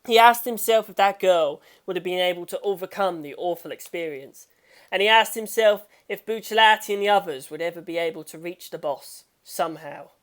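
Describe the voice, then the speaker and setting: Low-pitched woman reading fiction with theatrical delivery. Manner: theatrical, emphatic swells and hushed drawn-out phrase endings. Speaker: audiobook-style reader of a fan story. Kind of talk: dramatic scripted narration of prose fiction.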